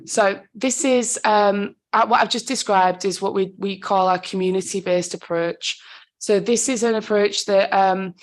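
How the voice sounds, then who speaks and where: A woman speaks with a husky, slightly sore-throated voice at a middle pitch. She presents a report to a committee in an easy, conversational way, pausing on ums and correcting herself as she goes. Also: fairly even intonation. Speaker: council digital inclusion officer.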